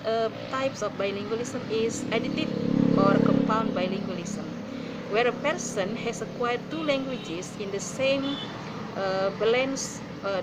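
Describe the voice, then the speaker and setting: A woman's voice: mid-range voice, heavy background noise. Hesitant woman presenting outdoors. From South Sulawesi, Indonesia. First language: Buginese. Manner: hesitant, many fillers. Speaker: student presenter. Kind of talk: class presentation. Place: outdoor porch.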